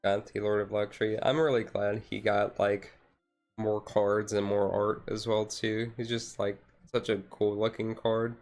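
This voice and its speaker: Low, flat-toned man chatting. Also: a little slow, faint music behind. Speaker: streamer. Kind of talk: casual ASMR chat stream.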